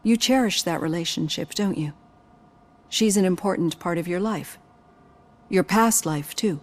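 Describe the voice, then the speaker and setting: An actress playing a composed psychologist in a video game, speaking in a calm, measured, gently probing way. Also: female voice, lowish voice, natural voice. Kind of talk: scripted game dialogue.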